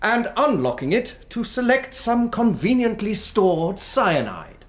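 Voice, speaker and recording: A man's voice: high voice, big pitch swings, measured pace. A man playing a stern, sarcastic courtroom interrogator in a radio drama, pompous and theatrical. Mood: sarcastic, probing.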